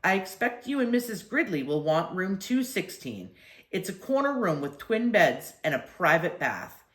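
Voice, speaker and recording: A woman's voice: fairly low pitch, very animated, normal speed, a bit bright, echoey room. Woman acting out courteous, obliging hotel bellboy. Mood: helpful. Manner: courteous, reassuring.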